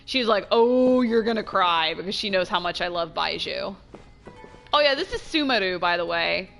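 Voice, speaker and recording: A woman giving casual, chatty gameplay commentary as a streamer, expressive and trailing off into asides. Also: mid-range voice, normal speed, creaky, faint music behind. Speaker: game streamer.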